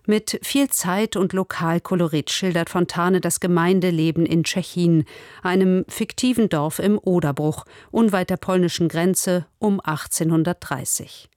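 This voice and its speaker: A woman radio presenter reading a scripted literary introduction in a measured, formal manner. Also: on the low side, darkish tone, no room sound, studio quality.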